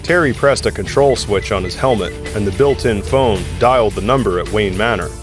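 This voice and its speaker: A man's voice: on the low side, fairly quick, music in the background. A man serving as narrator, reading scripted dramatic story narration.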